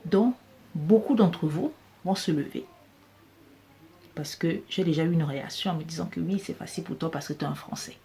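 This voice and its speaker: A woman's voice: low-pitched, moderate pace, creaky, dark tone. A woman with a Cameroonian French accent, giving an emphatic motivational business talk that repeats key phrases for stress. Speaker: business coach vlogger.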